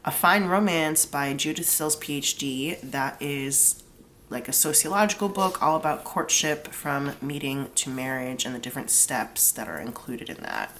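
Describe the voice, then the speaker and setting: A woman's voice: very deep voice, lively intonation, moderate pace, a bit bright, a little hiss or hum. A young woman with a stuffed-up nose from a cold, chatting casually through a tarot reading and explaining each pull with lots of "like". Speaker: tarot reader.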